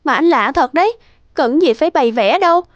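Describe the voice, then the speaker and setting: A woman's voice: high voice, animated, fairly quick, dry recording, lo-fi sound. A woman voicing a sweet young girlfriend in a cartoon romance. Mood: delighted. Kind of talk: animated drama voice acting.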